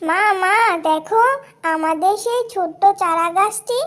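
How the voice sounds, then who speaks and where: A sweet little fox girl from a children's cartoon. Her voice is extremely high and childlike, with a caring manner. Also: measured pace, fairly bright tone. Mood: excited.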